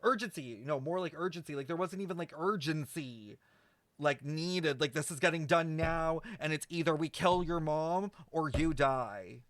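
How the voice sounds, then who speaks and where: Higher-voiced man streaming, dry close sound. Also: moderate pace, a bit bright, no echo. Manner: rambling, thinking aloud, profane. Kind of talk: casual game stream commentary.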